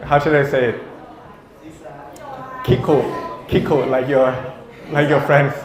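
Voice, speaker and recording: A man's voice: medium pitch, little pitch movement, measured pace, a crowd in the background. A male university lecturer teaching a class, teasing students and calling on them by name. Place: lecture room. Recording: echoey lecture room.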